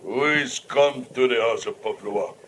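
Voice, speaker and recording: A man's voice: medium pitch, a bit bright, close to an everyday voice, low-quality recording. A man playing a menacing voodoo priest in an old radio drama, speaking ominously in broken English. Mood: imperious. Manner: slow, ominous, incantatory. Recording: old radio recording, faint noise.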